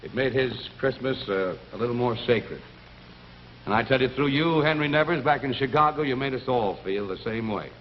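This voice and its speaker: A man's voice: mid-range voice, lively intonation, normal speed, a little hiss or hum. A man with an American accent presenting as host of a 1950s television show, on an old broadcast recording. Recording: dull 1950s TV broadcast audio, music behind.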